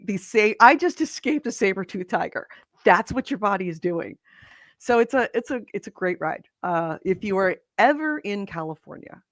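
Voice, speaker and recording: Hoarse, gravelly woman chatting casually. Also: medium pitch, dry recording, studio quality. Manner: chatty, rambling, self-interrupting. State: slightly hoarse. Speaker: podcast co-host. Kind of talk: casual chat.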